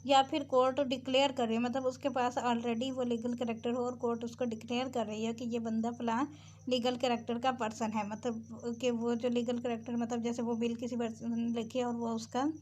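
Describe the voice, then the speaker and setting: Higher-pitched Pakistani woman tutoring, noisy recording. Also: darkish tone. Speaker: law tutor. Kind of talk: explanatory lecture, reading statute aloud and explaining it.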